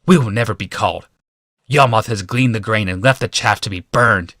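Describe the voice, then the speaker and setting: A man voicing a young, bitter rebel leader haranguing a mob in a shouted, oratorical audiobook performance. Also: fairly low pitch, moderate pace, no room sound, clean studio sound. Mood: angry, bitter. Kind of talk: audiobook character voice.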